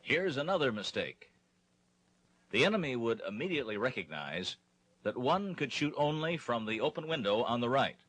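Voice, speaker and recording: A man with a husky voice narrating a training film in a scripted, instructional style. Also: mid-range voice, very animated, moderate pace, fairly bright tone, poor audio.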